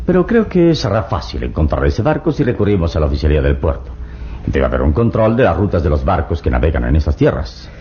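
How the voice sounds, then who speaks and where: An actor playing a calm, resolute adventure hero in a vintage radio drama. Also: male voice, sits low, fast, slight background noise. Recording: dull lo-fi old radio recording.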